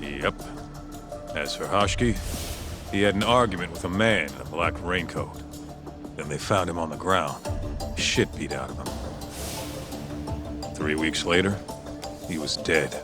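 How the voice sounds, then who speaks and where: A tough, wry detective sidekick in a video game. His voice sits low and he talks in blunt street slang. Music plays clearly behind him. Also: unhurried, long pauses. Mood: grim. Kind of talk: video game cutscene acting.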